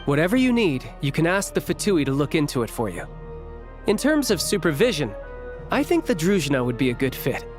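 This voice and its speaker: Confident young man, lightish higher voice, animated, breezy, persuasive, game dialogue. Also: brisk, faint music behind.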